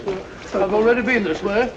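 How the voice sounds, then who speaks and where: An actor playing a fussy, good-natured middle-aged British doctor with a bumbling, hesitant manner in 1940s film style. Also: male voice, high voice, steady tone, a little fast, muffled recording. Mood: huffy. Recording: old 1940s film soundtrack, faint noise.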